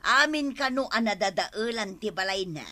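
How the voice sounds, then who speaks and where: Bright, nagging Ilocano village wife. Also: female voice, medium pitch, animated, normal speed. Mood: nagging, explaining. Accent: Ilocano Filipino. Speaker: actress in a radio comedy drama. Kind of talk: Philippine radio comedy drama acting.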